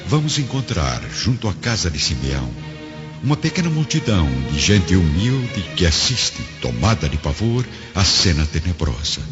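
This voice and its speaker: A man's voice: deep voice, very animated, moderate pace, dull audio. A man narrating a scripted radio drama in a solemn, theatrical, emphatic manner. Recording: band-limited sound, loud music underneath.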